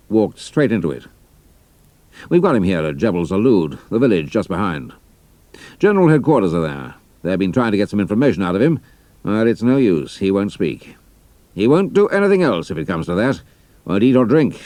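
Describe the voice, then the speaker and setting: A senior British army major in an audio drama. His British voice sits on the low side and swings expressively in pitch, yet his manner stays measured and dry. The sound is tight, with no room echo. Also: darkish tone.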